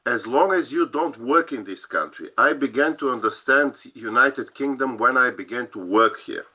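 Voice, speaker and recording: Bulgarian-accented man, bright and wry. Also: medium pitch, normal speed. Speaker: host. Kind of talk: conversational interview.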